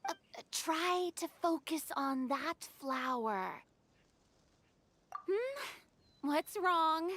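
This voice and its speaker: A woman playing an energetic, bossy young film director in a video game, commanding, emphatic and easily exasperated. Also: very high-pitched, extremely slow, pauses often, heavily acted. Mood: frustrated.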